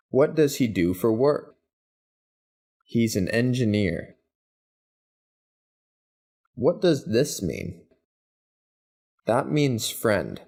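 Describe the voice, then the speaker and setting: A man reading English practice questions and answers, each said twice in an even, deliberate way. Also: mid-range voice. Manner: even, deliberate, carefully spaced delivery. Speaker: English teacher. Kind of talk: slow scripted phrase drills, each said twice.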